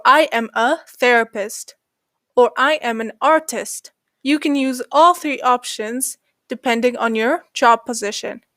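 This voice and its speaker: A woman narrating an English lesson as the channel host, slowing down to read example sentences. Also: on the high side, a bit bright, no room sound, studio quality. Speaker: English teacher.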